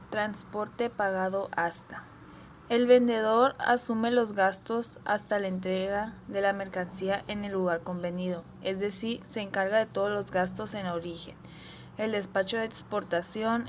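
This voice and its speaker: A woman's voice: mid-range voice, slight background noise. A woman presenting a scripted explanation in an instructional reading style.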